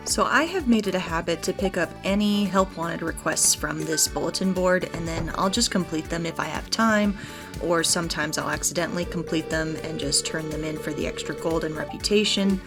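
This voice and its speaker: A woman hosting a gaming video, giving chatty, explanatory let's play commentary in a casual style. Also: lowish voice. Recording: background music under the voice. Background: game music.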